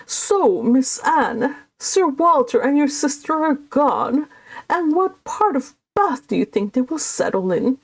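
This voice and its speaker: A woman's voice: high voice, lively intonation, slow pace, no echo, pitched above the performer's own voice, full character voice. A woman reading a classic novel aloud and voicing self-absorbed, status-minded Regency gentry with an affected, fussy manner. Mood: nosy, breezy.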